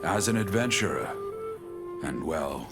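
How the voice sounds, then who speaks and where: A man playing a weary, wistful elderly adventurer in a video game monologue, solemn and measured yet hopeful. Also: low voice, lively intonation, over loud music. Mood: proud, reminiscing. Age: older.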